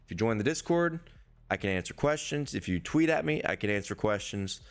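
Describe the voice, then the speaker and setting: Hoarse man with a low voice. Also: animated, a little fast. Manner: loose, rambling, profane. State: hoarse, losing his voice. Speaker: sports betting podcast host. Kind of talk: casual solo betting preview, swearing freely.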